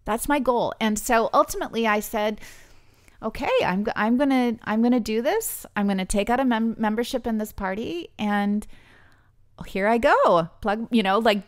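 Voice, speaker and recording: A woman's voice: fairly high pitch, moderate pace. A woman from British Columbia, speaking as a podcast guest in an earnest, thinking-aloud way with frequent restarts. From British Columbia, Canada. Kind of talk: conversational interview.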